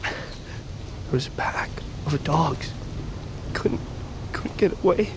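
An actor playing a gravely wounded, fatalistic blind tribesman in an audio drama, speaking weakly and haltingly as he reflects. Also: fairly high pitch, noisy background. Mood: pained, fatalistic. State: wounded, weak, halting breath. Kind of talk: audio fiction drama acting. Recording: music bed under the dialogue.

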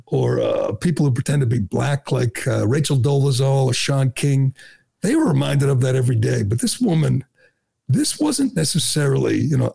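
A man's voice: medium pitch, gravelly, warm tone, slightly rough. A middle-aged man hosting a talk radio show, delivering a sarcastic, mocking monologue full of "uh" fillers and restarts.

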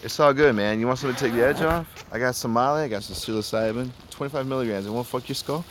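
An actor playing a laid-back, evasive young party drug dealer who talks in stoner slang, in a naturalistic audio drama. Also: male voice, medium pitch, quick pace, natural voice. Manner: slangy stoner talk, peppered with bro and man. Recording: faint ambient background noise.

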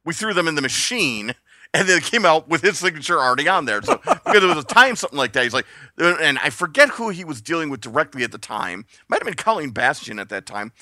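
A man telling stories on a casual podcast, his voice bright and sharp, with a lively pitch that swings up and down. He laughs often and acts out the people he quotes, and the sound is dry and close. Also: fast, no room sound. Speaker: podcast co-host. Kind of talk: casual storytelling chat.

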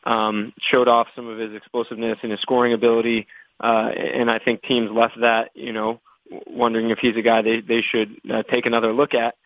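A thin, phone-band voice with almost no room around it. An American man gives measured, conversational sports analysis on a conference call, at a middling pitch. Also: completely flat delivery, no room sound. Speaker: basketball draft analyst. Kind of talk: media conference call question-and-answer. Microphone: telephone. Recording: tinny, dry phone conference line.

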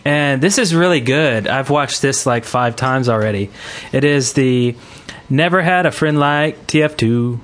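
A man's voice: mid-range voice, measured pace, few pauses, fairly bright tone. A man co-hosting a podcast with casual, chatty banter. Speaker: podcast co-host.

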